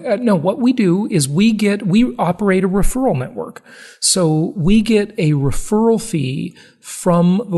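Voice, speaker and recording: A man's voice: on the high side, darkish tone. A man hosting a podcast in casual conversation, with an emphatic, salesy and joking way of talking. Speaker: podcast host.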